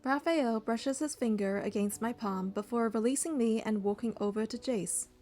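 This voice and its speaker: An actress playing a caring, curious young heroine who narrates and speaks in a romance game, measured and earnest. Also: female voice, a little high, a little mellow, soft background music, natural voice. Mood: measured, observant. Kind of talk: first-person narration and dialogue in a romance game.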